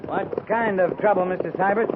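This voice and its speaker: An American man playing a seasoned cavalry captain in a 1950s radio western, speaking in terse, measured orders. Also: sits high, close to an everyday voice. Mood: brisk, in command. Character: seasoned, steady male cavalry captain on the frontier. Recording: tinny, noisy 1950s radio recording.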